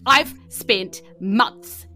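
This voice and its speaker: A woman voicing a bitter, agitated male birdfolk prisoner with a hoarse voice in a tabletop game. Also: a little high, drawn-out words, sharp tone, soft background music, full character voice. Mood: indignant.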